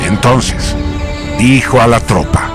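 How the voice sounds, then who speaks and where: A man narrating a scripted radio drama in a solemn, grandiose manner with measured phrasing. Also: mid-range voice. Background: music.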